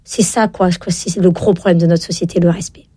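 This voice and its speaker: A woman in her forties, a parenting author giving a radio interview, talking in an animated way with many fillers and tag questions. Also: fairly low pitch, brisk, husky, dry recording. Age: 40s.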